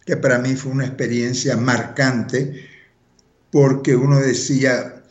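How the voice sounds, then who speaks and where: A man's voice: mid-range voice, steady tone, a little slow. An older man from Cuba, telling his life story as an interview guest in a reflective, wry way. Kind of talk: autobiographical storytelling in conversation. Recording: roomy echo, slightly dull sound.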